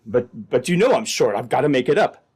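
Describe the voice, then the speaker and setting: Man acting crooked company man, medium pitch, blunt, businesslike, insistent, old-time radio drama style. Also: very animated, brisk, darkish tone, slightly rough. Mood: defiant, threatening. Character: crooked, hard-bitten trading company man in debt.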